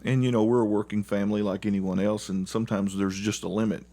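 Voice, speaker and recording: Middle aged guy, lowish voice, earnest and plain spoken, chatting in an interview. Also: flat delivery, fast, mellow. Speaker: interview guest, parent and football coach. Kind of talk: conversational interview, telling family stories.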